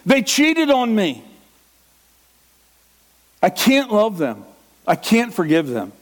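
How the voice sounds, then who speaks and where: High-voiced man preaching a sermon. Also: huge pitch swings, slow. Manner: impassioned, acts out the inner voice of a struggling believer. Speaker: pastor.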